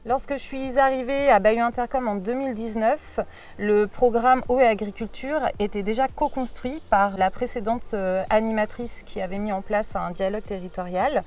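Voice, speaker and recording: A woman giving a prepared, measured testimonial as a programme coordinator. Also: fairly high pitch, steady tone, darkish tone, recorded from a distance, faint music behind. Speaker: local water and agriculture programme coordinator.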